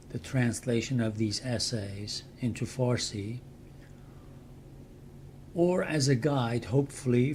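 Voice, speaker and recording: An older man from Iran with a Persian accent, speaking slowly and deliberately in an explanatory talk for his channel. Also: medium pitch, warm tone, slight background noise. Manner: measured, deliberate, with pauses. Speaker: channel host and translator. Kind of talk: slow explanatory talk to camera.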